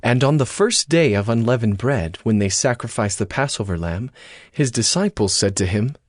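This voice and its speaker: A male narrator reading scripture aloud in a steady, expressive way. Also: mid-range voice, moderate pace, no echo. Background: music score.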